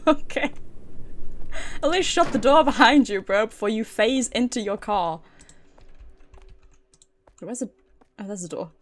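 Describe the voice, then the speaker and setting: A woman's voice: on the high side, slow, long pauses. A British woman giving casual, playful live commentary as she plays a game, talking to herself and reading dialogue aloud. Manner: talks to herself, playful, giggly, mildly sweary. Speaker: game streamer. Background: game music.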